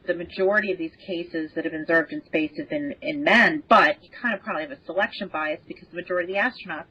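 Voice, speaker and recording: Lower-voiced woman, muffled lo-fi audio. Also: racing, breathy edge. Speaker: expert podcast guest. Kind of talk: casual explanatory discussion. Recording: dull lo-fi remote audio.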